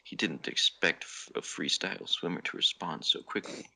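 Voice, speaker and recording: Low, creaky man reading fanfiction theatrically. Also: moderate pace, bright, forceful tone. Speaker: podcast member reading fan fiction. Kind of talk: comic dramatic reading, narration.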